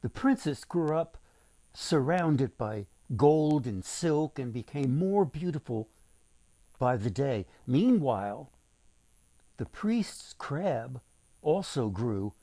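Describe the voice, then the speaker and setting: A man reading a folktale aloud as its author, with deliberate, dramatic phrasing for young listeners. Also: mid-range voice, sing-song, dark tone, no room sound.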